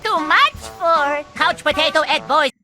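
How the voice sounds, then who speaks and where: An odd, cheerful cartoon foreigner. The voice is very high and slow with lively swings, over clear music. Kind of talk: exaggerated cartoon acting.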